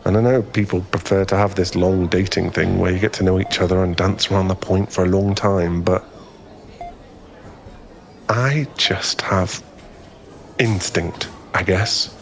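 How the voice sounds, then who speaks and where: Male actor as bashful young suitor for ASMR boyfriend roleplay: low register, earnest, stumbling delivery, noticeable background ambience. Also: steady tone, unhurried, creaky, pauses often, slight background noise. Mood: earnest, smitten. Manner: hesitant, stammering, earnest, bashful.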